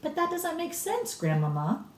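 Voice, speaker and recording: A woman's voice: extremely high pitch, huge pitch swings, moderate pace, pitched above the performer's own voice, full character voice. A woman voicing a curious, earnest young grandchild in a storybook, full of eager questions. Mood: doubtful, earnest. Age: child. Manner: inquisitive, earnest. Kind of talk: storybook read-aloud character. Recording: roomy echo, distant microphone.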